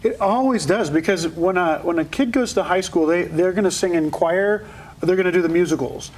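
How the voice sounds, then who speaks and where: A man's voice: high-pitched, a little fast, a little mellow, poor audio. A man who runs an opera festival, speaking enthusiastically as a guest on a morning TV show in a studio. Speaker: opera festival executive director.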